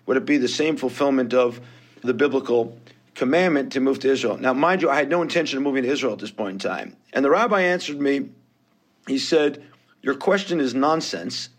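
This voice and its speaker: A man's voice: medium pitch, animated, a little fast. A man in his fifties with a New York accent, speaking as a podcast guest. He tells his story candidly and pokes fun at himself along the way. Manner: candid, self-deprecating storytelling. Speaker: guest investor. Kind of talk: podcast interview.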